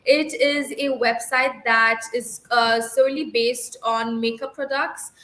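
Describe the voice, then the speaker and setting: Bright-voiced young woman, echoey room. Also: fairly high pitch, steady tone, slow pace, a little hiss or hum. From Bangladesh. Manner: fluent, with small self-corrections. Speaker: IELTS practice candidate. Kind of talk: spoken exam practice answers. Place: livestream.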